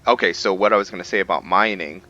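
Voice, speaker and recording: A man's voice: deep voice, fast, bright, forceful tone, highs cut off. A man giving casual roleplay gameplay commentary, thinking aloud to himself as he plays. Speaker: gaming video host. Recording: background music under voice. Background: game music.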